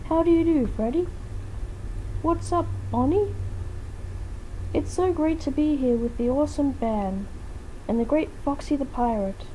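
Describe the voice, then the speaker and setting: An eager, sweet fox girl played by a woman in a fan fiction reading. Her voice sits high and she gushes with wide-eyed cheer, over slight background noise. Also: unhurried, long pauses, warm tone, rough recording. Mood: excited, curious. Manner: gushing, eager. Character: cheerful sweet female animatronic fox, easily awed.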